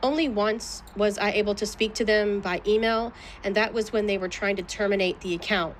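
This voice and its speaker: A woman's voice: medium pitch, steady tone, brisk, slight background noise. A woman answering questions in a legal deposition in a guarded, clipped manner. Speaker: plaintiff being deposed.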